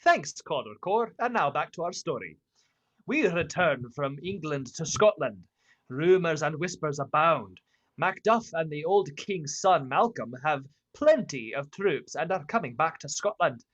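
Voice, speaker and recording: A young man plays an old-time radio announcer. He reads scripted story narration in a voice that is very high for a man. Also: lively intonation, measured pace, fairly bright tone. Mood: upbeat. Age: young adult.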